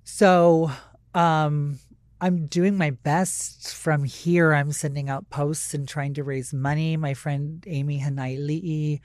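A woman from Maui, a talk show guest, speaking earnestly with halting pauses and fillers. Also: sits low, fairly even intonation, a little mellow, no room sound, studio quality. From Maui, Hawaii. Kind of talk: casual chat.

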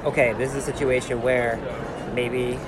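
A man chatting casually as a podcast host in a halting, rambling way, sprinkling in "like". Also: mid-range voice, little pitch movement, music in the background. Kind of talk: casual conversational interview. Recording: loud crowd chatter, outdoor urban noise.

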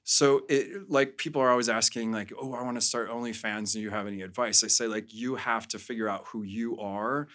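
A man's voice: lowish voice, fairly even intonation, fairly quick, few pauses, fairly bright tone. A man speaking casually as a podcast guest, explaining things frankly in a conversational way. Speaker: podcast guest, adult content creator. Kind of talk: casual interview talk.

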